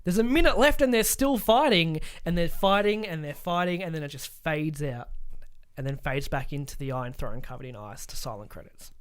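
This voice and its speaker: A man with a fairly high-pitched voice talks as a podcast co-host. His delivery is casual and conversational, with swearing and joking riffs on imagined scenes. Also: expressive, a little fast, smooth.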